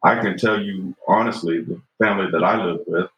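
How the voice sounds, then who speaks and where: Deep-voiced man in his fifties, roomy sound. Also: fairly even intonation, a little fast, dull audio. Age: 50s. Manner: earnest, reflective, frequent "you know" and "right?". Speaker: guest. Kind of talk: podcast conversation.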